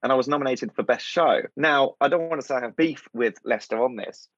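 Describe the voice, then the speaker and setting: A man's voice: medium pitch, quick pace, fairly bright tone, dry recording, highs cut off. British male comedian, dry and self-deprecating. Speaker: stand-up comedian guest. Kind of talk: casual chat interview.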